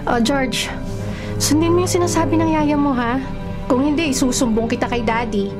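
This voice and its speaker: A woman playing a bossy, protective woman of the household in a radio drama, brisk and scolding. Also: sits high, music in the background, lightly acted. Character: bossy, protective women of a household, nanny and elder sister.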